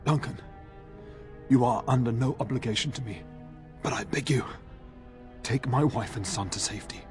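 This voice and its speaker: An actor playing a gravely wounded middle-aged noble father in a video game, halting and labored, loving and dutiful. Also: medium pitch, darkish tone, soft background music, close to an everyday voice. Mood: pleading, weak. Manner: halting, pained, labored delivery. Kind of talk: dramatic video game acting.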